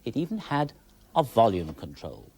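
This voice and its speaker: Genteel British man with animated intonation. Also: medium pitch, moderate pace, slightly rough. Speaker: television presenter. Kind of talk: informal expert presentation to camera.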